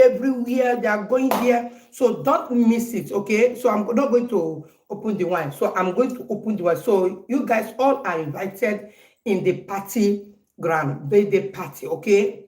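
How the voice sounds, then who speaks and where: A woman's voice: mid-range voice, a little mellow, reverberant. A Nigerian woman in her forties, chatting casually in a home vlog and playfully repeating herself. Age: 40s. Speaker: vlogger. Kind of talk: casual birthday vlog to viewers.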